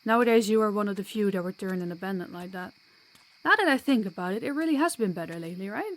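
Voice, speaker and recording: A woman's voice: medium pitch, animated, quick pace, close to an everyday voice. A woman playing a sociable, kind vampire guide in an audio roleplay, chatty and reassuring with a playful streak. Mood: friendly, explanatory.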